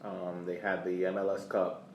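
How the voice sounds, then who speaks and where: An American man co-hosting a podcast, talking casually about sports in a halting way, repeating words as he searches for phrasing. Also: deep voice, completely flat delivery, creaky, muffled recording. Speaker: podcast co-host. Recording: echoey room, distant mic, faint background noise.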